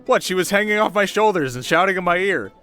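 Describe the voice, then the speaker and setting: A man playing an earnest, playful young warrior in an audio drama, joking and quick to get defensive. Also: sits high, expressive, sharp tone, faint music behind. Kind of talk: comic audio drama acting.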